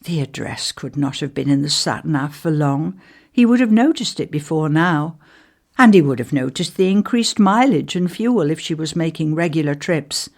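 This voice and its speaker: A woman reading a ghost story aloud as scripted narration, in a measured, deliberate way with pauses. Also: sits low, expressive, vocal fry, darkish tone.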